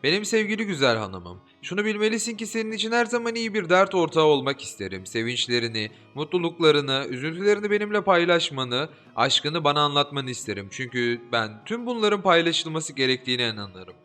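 A man's voice: fairly high pitch, very animated, brisk, hardly any pauses, bright, forceful tone, soft background music. A man voicing a caring old nanny woman in a storybook, speaking tenderly and coaxingly.

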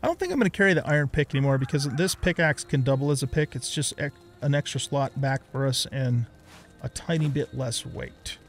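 A man's voice: medium pitch, animated, brisk. A middle-aged man giving casual let's play commentary, rambling as he thinks aloud.

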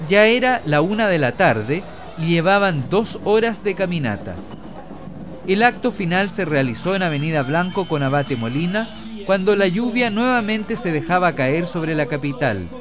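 A man's voice: sits high, very animated, normal speed. A man narrating a scripted radio news report.

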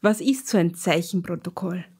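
A woman hosting a radio show, reading her introduction in measured, clear phrases. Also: fairly low pitch, a little mellow. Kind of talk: scripted radio presenting.

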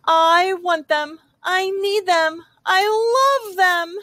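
Lady playing storybook little girl, super high n slow, begging, drawn out words, so dramatic. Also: bright, forceful tone, dry recording, exaggerated voice. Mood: melodramatic begging. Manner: insistent, melodramatic, stretching out words. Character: impatient, pleading, dramatic young girl wanting her own way.

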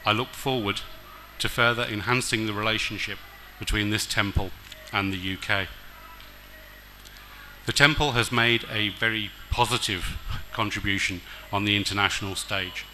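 Middle-aged male diplomat, formal reader, low-ish and bright, podium mic. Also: fairly even intonation, a little hiss or hum. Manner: measured, formal, occasional hesitations. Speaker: diplomat guest speaker. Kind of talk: formal prepared speech read aloud. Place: decorated outdoor stage at a temple event. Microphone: stand mic at a podium.

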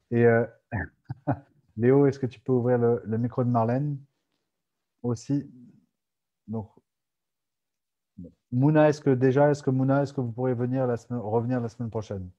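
A man from Aix-en-Provence hosting a casual video-call Q&A, relaxed and chuckling, with frequent hesitant restarts. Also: medium pitch, a little slow, long pauses. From France, Aix-en-Provence. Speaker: podcast host and moderator. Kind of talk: casual Zoom Q&A chat. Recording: dull muffled call audio.

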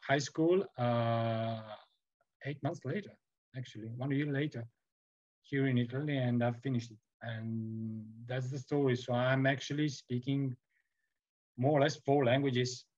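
A man in his forties from Serbia with a Serbian accent, speaking as a guest on a video call interview, halting with many fillers and restarts. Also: medium pitch, fairly even intonation, a little mellow. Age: 40s. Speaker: guest sculptor. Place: home workroom with shelves. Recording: muffled video call audio.

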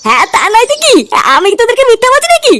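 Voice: very high voice, huge pitch swings, very fast, a bit bright, a little hiss or hum, exaggerated voice. A performer voicing a frantic, frightened village father in a comic cartoon, whiny and insistent. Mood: indignant. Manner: agitated, insistent, exclaiming. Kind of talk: comic cartoon dialogue in colloquial Bengali.

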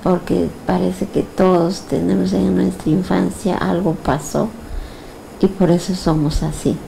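An older woman lying down after a hypnosis session, answering slowly and hesitantly, with restarts and soft agreement. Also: lowish voice, little pitch movement, vocal fry, a little hiss or hum, poor audio. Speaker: hypnosis client. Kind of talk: casual talk after a session. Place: lying down in an indoor therapy room.

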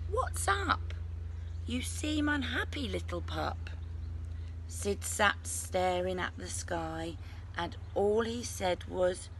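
A middle-aged woman reading a children's story aloud expressively, with little asides to young listeners. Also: mid-range voice. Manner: slow, animated delivery with asides to young listeners. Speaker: teacher reading a picture book to a class. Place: outdoors in a leafy garden. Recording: noisy outdoor ambience.

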